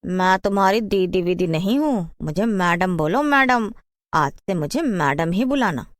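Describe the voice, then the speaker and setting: A woman's voice: on the low side, expressive, measured pace, dry recording, clean studio sound, voice pushed down. A woman voicing a bossy, scheming wife with a haughty, mean manner in a cartoon story. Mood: stern. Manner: commanding, mocking.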